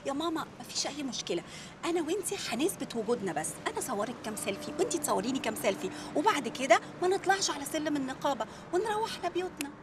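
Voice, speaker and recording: An actress with an Egyptian accent playing a sharp, sarcastic young woman journalist who argues with her husband. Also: high voice, big pitch swings, rapid-fire, noisy background. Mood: coaxing. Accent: Egyptian Arabic. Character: feisty quick-tongued young woman journalist. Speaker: Engy, a young journalist wife. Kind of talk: comedy film acting.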